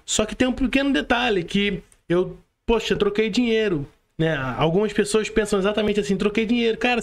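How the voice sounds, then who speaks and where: A man with a Brazilian accent hosting a casual podcast, emphatic and encouraging, often checking that the listener follows. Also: high voice. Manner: emphatic, encouraging, slangy, frequent rhetorical check-ins. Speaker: podcast host.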